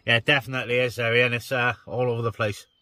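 A man's voice: medium pitch, level tone, fast, heavy background noise. A man operating a CB radio, chatting casually to other operators and to his viewers. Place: inside a parked car on a hilltop.

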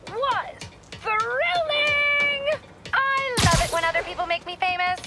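A giddy young American villainess, hosts her livestream in a very high, swooping voice. She is showy and taunting in video game style, with music playing behind her. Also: heavily acted. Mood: gleeful, taunting. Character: manic, gleeful young female livestreamer villain. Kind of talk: hyped livestream show patter.

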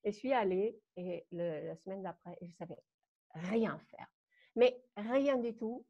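A woman in her fifties from Germany, speaking with a German accent, tells her story as a guest on a video call. She talks in an animated way, hesitates often and laughs between phrases. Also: mid-range voice, measured pace. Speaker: guest dance teacher. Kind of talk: personal storytelling on a live call. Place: home room. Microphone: webcam on a video call. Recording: muffled video call audio.

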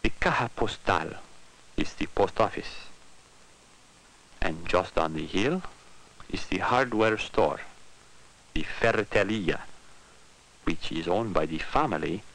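Deep-voiced, slow male documentary narrator. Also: fairly bright tone, low-quality recording. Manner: measured, deliberate, short phrases with pauses. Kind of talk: scripted narration, storyteller-like, simple sentences. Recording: 1960s educational film soundtrack with music. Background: guitar music.

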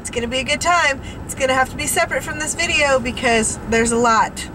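Bubbly high-voiced woman chatting while driving. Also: fast. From California. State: sniffly from allergies. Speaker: vlogger. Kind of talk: casual chat while driving. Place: inside a car, driving. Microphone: dashboard camera mic. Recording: road noise behind voice.

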